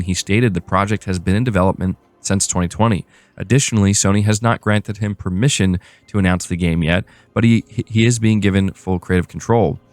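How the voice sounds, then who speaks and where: A man hosting a gaming news show, moving between read-out reports and casual commentary. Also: on the low side. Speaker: host of a gaming news channel.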